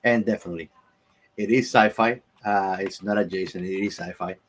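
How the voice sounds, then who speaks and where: A man with a fairly low voice co-hosts a casual podcast. He gives enthusiastic commentary and stresses his points by repeating words. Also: steady tone, brisk, a little hiss or hum. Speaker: podcast co-host.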